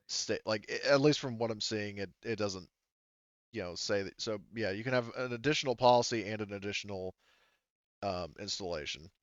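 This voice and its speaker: A man running a tabletop roleplaying game as game master, casually talking through rules and haltingly thinking aloud. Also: lowish voice, dry recording, muffled recording.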